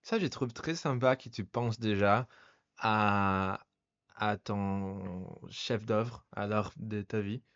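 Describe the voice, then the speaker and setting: Podcast host, male, non-native French speaker, low voice, halting delivery, dry muffled mic. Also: a bit bright, no echo. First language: not French. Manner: halting, searching for words. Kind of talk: casual conversation.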